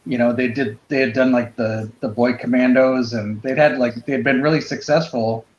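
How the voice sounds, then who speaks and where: A man's voice: medium pitch, steady tone. Man chatting in an echoey, muffled recording. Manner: tentative, halting explanations. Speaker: panelist on a comics drawing show. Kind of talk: casual chat about comics history.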